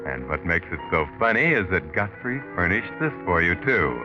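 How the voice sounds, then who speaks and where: A muffled vintage radio recording with music behind an ominous mystery narrator. His voice is very low, and he taunts and insinuates with sardonic menace, 1940s style. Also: very animated. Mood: knowing, sardonic. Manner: taunting, insinuating, deliberate rhetorical questions.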